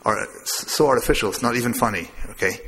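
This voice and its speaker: A man's voice: mid-range voice, quick pace, creaky, a bit bright. A man from Lebanon giving a discursive public lecture, wandering between asides with a self-amused touch. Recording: long hall reverb.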